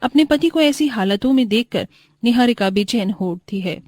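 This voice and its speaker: A woman's voice: on the high side, expressive, normal speed, a little mellow, dry recording. A woman narrating a moral bedtime story as a scripted voice-over.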